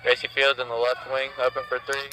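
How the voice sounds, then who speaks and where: A man's voice: mid-range voice, monotone, fairly quick, poor audio. A man calling a basketball game as a play-by-play commentator. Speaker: sports commentator.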